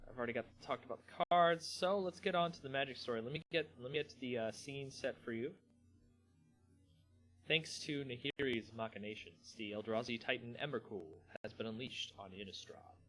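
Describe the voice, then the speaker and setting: A man hosting a live stream in a casual, slangy, rambling way, with halting pauses. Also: mid-range voice, a bit bright. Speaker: host of a card game channel. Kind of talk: casual live stream chat, then dramatic story reading.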